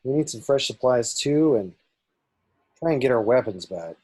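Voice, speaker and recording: A brash, impatient smuggler, played by a man, gruff but caring. The voice is mellow and husky with lively swings of pitch, in a table read over a video call. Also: moderate pace.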